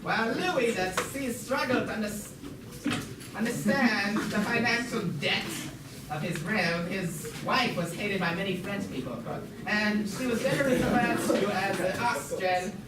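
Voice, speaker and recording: A young student voicing a formal puppet museum guide in an amateur school show, lecturing haltingly and stumbling over words. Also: medium pitch, close to an everyday voice. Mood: formal, explanatory. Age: teenager or young student. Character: puppet museum guide, formal, mock-learned, named Schnitzelbach. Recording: noisy, echoey room, far from mic.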